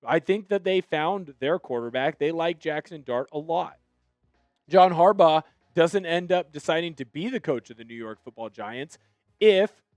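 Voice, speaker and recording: A man hosting a solo sports news video, giving opinionated commentary that now and then bursts into animated excitement. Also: fairly high pitch, no echo.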